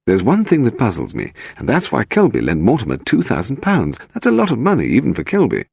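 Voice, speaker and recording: A man's voice: medium pitch, wildly swooping pitch, brisk, gravelly, a little mellow, husky, dry recording, clean studio sound, voice pushed up, heavily acted. A man playing a blunt, world-weary, matter-of-fact English police inspector. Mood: confiding, puzzled.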